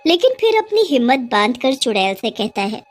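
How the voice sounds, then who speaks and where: A woman's voice: fairly high pitch, sing-song, faint music behind. A woman storyteller delivering scripted narration for a tale.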